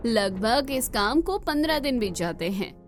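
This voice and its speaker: A woman's voice: on the high side, lively intonation, moderate pace, music in the background. A woman narrator reading a scripted moral story for a cartoon.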